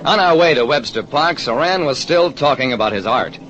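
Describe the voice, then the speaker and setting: An actor playing a terse American private detective, narrating an old radio crime drama. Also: male voice, medium pitch, animated, moderate pace, fairly bright tone, slight background noise, natural voice. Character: wry, quick-thinking American private detective. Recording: dull vintage radio recording, music behind.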